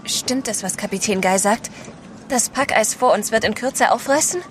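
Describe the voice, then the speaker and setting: Casting: actress as outspoken woman at sea, highish voice, direct, radio play. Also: moderate pace, slight background noise, natural voice. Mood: concerned. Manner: direct, questioning. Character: earnest, outspoken woman on an expedition ship. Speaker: actor in an audio drama. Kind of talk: German radio play acting.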